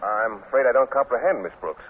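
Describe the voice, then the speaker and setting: A man's voice: medium pitch, brisk, lightly acted. An actor playing a shy, formal, naive male teacher and coach with hesitant delivery in a 1950s radio sitcom. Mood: awkward. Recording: tinny, noisy 1950s radio recording.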